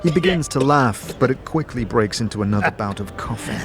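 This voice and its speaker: A man's voice: medium pitch, lively intonation, fairly quick, noisy background. A man narrating a fantasy audio drama in the second person, like a game master telling a story. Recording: music under the voice.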